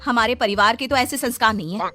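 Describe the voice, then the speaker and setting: A woman's voice: a little high, fast talker, pushed and bright, soft background music. A woman voicing a prudish, scolding married daughter-in-law in a cartoon story, dramatic and gossipy. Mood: scandalized.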